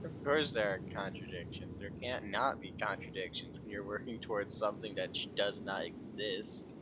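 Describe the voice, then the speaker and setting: A man's voice: lowish voice, normal speed, noisy background. A man giving casual, sardonic commentary as they play a game, with muttered asides. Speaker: game streamer.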